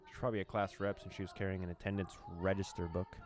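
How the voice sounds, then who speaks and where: A young man from the former USSR reading a horror visual novel aloud, with quick laughs and reactions between lines. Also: low-pitched, flat delivery. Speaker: let's play host.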